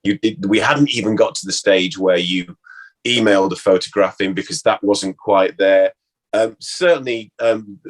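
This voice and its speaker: A man's voice: lowish voice, rough recording. A man talking candidly and wryly in a casual, reminiscing conversation as an interview guest. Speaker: interview guest, former actor.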